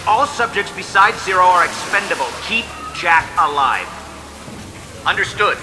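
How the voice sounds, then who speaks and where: A man's voice: sits high, lively intonation, music in the background. An actor playing a panicked male security officer making an urgent report. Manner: urgent and fast.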